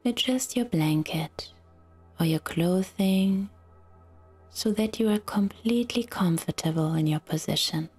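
Very slow, breathy woman guiding relaxation. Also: medium pitch, soft background music. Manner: soft, soothing, breathy, half-whispered delivery with long pauses. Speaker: yoga nidra guide. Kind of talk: guided relaxation meditation.

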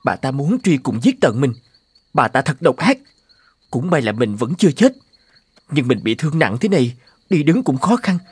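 A man's voice: medium pitch, very animated, moderate pace, pauses often, breathy edge, no echo. A man voicing a sorrowful, mistreated young orphan in a cartoon fairy tale, earnest and lamenting. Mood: bitter, distressed. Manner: lamenting, plaintive. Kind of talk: animated fairy-tale dubbing. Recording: music under the voice.

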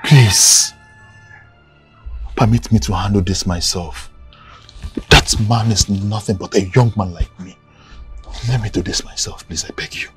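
A young man with a Nigerian accent playing an excitable but respectful son in a film drama. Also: on the low side, slow pace, long pauses, faint music behind. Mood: pleading. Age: young adult.

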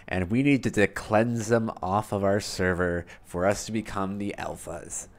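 A young man narrating his game story, then reacting excitedly and laughing in voice chat with his teammate. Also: lowish voice. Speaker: gaming YouTuber.

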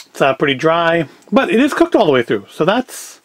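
A man's voice: on the high side, sing-song, moderate pace, no echo. A man, chatting casually through a food review in a wry, self-deprecating, rambling way. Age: 40s. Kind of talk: casual review chat to camera.

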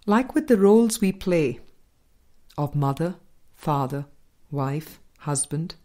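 A woman reads a book aloud as narrator at a very unhurried pace. Her voice sits a little low, and her delivery is scripted and measured. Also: dark tone. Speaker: audiobook narrator.

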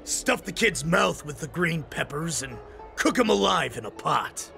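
A man's voice: on the high side, animated, measured pace, vocal fry, bright, forceful tone, soft background music, exaggerated voice. A man voicing a vicious, sneering young wolf-man henchman in a video game, snarling and taunting with menace. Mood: cold threat. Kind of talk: dramatic game character acting.

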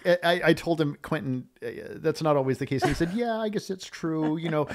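A man's voice: on the high side, a little fast. An older man talking casually in a podcast studio, rambling and enthusiastic, quoting old conversations as he tells stories. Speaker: filmmaker guest and co-host. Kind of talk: casual chat about movies.

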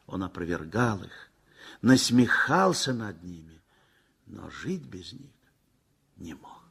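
A man narrating a 1960s radio play in a measured, sorrowful way. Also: fairly low pitch, very animated, creaky, a bit bright, slight background noise. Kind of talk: scripted literary narration. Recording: vintage 1960s radio recording with faint music.

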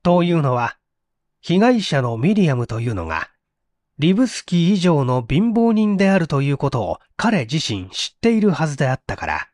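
High-pitched, lively male mystery narrator. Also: normal speed, no echo, clean studio sound. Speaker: audiobook reader. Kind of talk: dramatic scripted narration of a mystery story.

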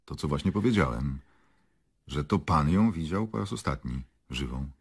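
An actor playing a sardonic, curt public prosecutor in a radio drama, asking pointed questions. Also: male voice, a bass voice, expressive, moderate pace, husky, heavily acted. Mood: probing. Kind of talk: Polish radio drama acting.

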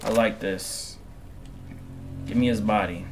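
A man in his twenties commentating on a game through a headset, excitable and slangy, repeating himself. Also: fairly low pitch, takes it slowly, slight background noise. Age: 20s. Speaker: gaming streamer. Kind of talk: casual gameplay commentary.

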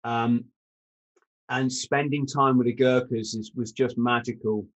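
A man's voice: medium pitch, steady tone, measured pace, highs cut off. Middle-aged man hosting a podcast call. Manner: long storytelling questions. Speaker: podcast host. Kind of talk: casual interview chat. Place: room at home. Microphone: video call.

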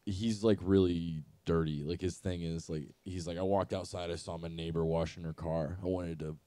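A young man in his twenties with a very low voice, rambling in crude slang during podcast banter. The sound is dry and close on a handheld mic. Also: steady tone, normal speed, mellow, clean tone, no echo. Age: 20s. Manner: loose, crude, slangy storytelling.

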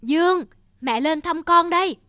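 A woman's voice: very high voice, a little slow, pushed and bright, dry recording, studio quality, full character voice. A woman playing a worried, loving middle-aged village mother in a cartoon drama, speaking plaintively and earnestly. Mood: tender. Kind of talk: animated moral drama.

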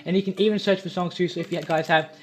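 Fast-talking young man giving a tutorial. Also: high-pitched, steady tone, a little hiss or hum. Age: young adult. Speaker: tutorial video host. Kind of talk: casual software tutorial. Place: small home room. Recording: faint music behind voice.

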